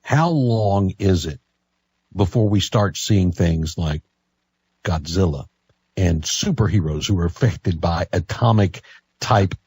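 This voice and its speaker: An American man works as a live talk radio host, interviewing and taking calls. His voice is on the low side and rough-edged, recorded dry and close. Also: animated, slow pace, a little mellow, no room sound.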